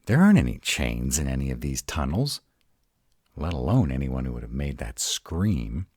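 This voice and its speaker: A man playing a grumpy, protective were-dragon in a roleplay audio, gruff and exasperated, rattled but defiant. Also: rumbling low, sing-song, a little mellow, dry recording, studio quality, exaggerated voice. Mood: rattled, uneasy. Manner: gruff, exasperated, halting one-sided dialogue.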